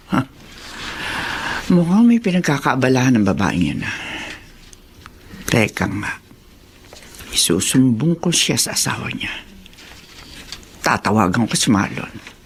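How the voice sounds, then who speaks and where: A woman's voice: sits low, very animated, a little slow, long pauses, slight background noise, exaggerated voice. An actress in a radio drama playing a bitter, meddling older mother-in-law who speaks with scornful, insinuating melodrama. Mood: suspicious, scornful.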